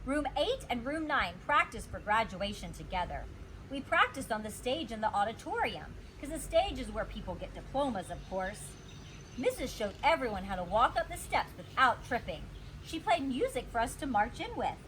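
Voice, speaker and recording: Woman, high voice, expressive storybook read-aloud, teacherly, emphatic, some background noise. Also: normal speed. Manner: expressive, emphasizes and stretches words. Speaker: teacher reading to children.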